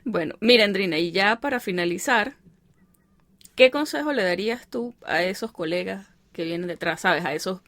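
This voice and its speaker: A woman's voice: medium pitch, animated, moderate pace, fairly bright tone, no echo. Woman hosting a podcast, chatty supportive questions, dry audio. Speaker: podcast host, interviewer. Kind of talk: casual interview chat.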